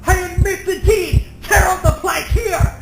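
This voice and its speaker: A man's voice: extremely high pitch, fairly even intonation, measured pace, poor audio. A man performs a deranged killer telling a gothic horror story. The delivery is dramatic and unsettled, a confessor whose composure hides madness. Creepy music plays prominently underneath. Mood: hysterical shrieking. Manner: dramatic, theatrical, agitated. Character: mad murderer narrator, outwardly cheerful, cracking into frenzy. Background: eerie music and sound effects.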